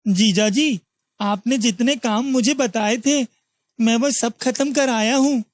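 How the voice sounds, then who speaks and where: A man's voice: very high-pitched, slow. A man voicing a naive, obedient young villager in a cartoon moral story, meek and respectful. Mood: dutiful. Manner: respectful, submissive.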